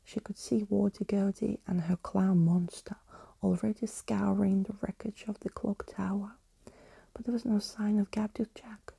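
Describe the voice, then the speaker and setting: A woman reading a storybook for ASMR in a soft, hushed, soothing bedtime manner. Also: mid-range voice, dry recording. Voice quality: soft, breathy.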